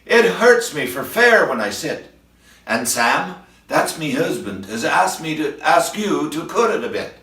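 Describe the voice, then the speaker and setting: A woman's voice: low-pitched, big pitch swings, measured pace, a bit bright, echoey room, distant mic, voice pushed up, exaggerated voice. An older-sounding man voicing a bashful, timid wife who stammers when nervous, in a recited comic ballad. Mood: complaining. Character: bashful middle-aged wife with a sore boil.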